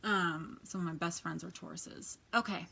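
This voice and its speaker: A soft-spoken woman with a quiet voice, chatting casually as she gives a tarot reading, often dropping to a near whisper. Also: fairly low pitch, steady tone, moderate pace, vocal fry, breathy edge. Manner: chatty, many fillers, drifts to near-whisper. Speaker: tarot reader. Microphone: quiet home recording.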